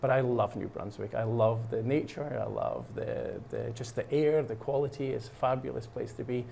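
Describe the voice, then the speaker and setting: A man's voice: mid-range voice, fairly even intonation, moderate pace, creaky, mellow, slight background noise. A man from Scotland with a Scottish accent, a guest chatting casually on a local show and explaining a program with lots of fillers and restarts. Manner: rambling, many fillers and restarts. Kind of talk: casual chat. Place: studio while painting.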